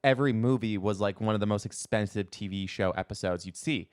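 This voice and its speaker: A man's voice: lowish voice, fairly quick. A man co-hosting a podcast in casual chat, rambling and slangy, leaning often on "like". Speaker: podcast co-host.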